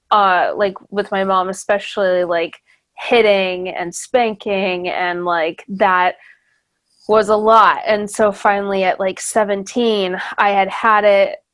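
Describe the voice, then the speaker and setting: A young woman hosting a podcast, chatting casually with many fillers and restarts and laughing easily. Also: medium pitch. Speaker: podcast host. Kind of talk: casual interview chat.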